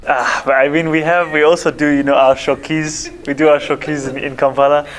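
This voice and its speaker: A man from Uganda, telling a story in a measured, hesitant way. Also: on the high side, flat delivery. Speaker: guest in a clip. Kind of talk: casual storytelling.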